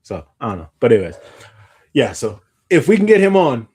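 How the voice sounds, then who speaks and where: A man's voice: medium pitch, very animated, brisk, background noise. Rambling man chatting casually, sounding tired. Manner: rambling, often backtracking mid-sentence. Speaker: podcast host. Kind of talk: casual chat.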